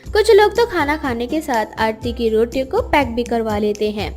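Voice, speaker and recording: A woman's voice: a little high, expressive, moderate pace, darkish tone. A woman narrating a scripted moral story for children. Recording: music behind the voice.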